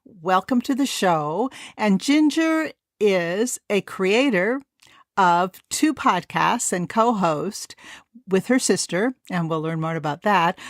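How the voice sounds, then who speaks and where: A woman's voice: medium pitch, no room sound, clean studio sound. A woman hosting a podcast interview, chatty and asking long wordy questions. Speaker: podcast host.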